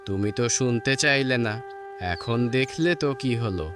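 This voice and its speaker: A man's voice: medium pitch, normal speed, natural voice. A man playing a grumbling, reproachful village father-in-law in a cartoon, with music playing under his voice. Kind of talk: Bengali cartoon fairy-tale voice acting.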